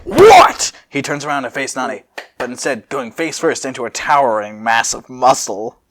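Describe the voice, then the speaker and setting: A man's voice: medium pitch, fairly even intonation, a little fast, fairly bright tone. A man narrating a story as an amateur host, with dramatic reading and jokey casual asides. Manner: jokey, laughs at the text.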